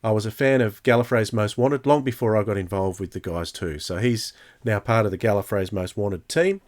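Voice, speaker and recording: A man hosting a podcast in a casual, hesitant way, chatting and reading out comments in a halting delivery. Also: on the low side, a little mellow. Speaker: podcast host.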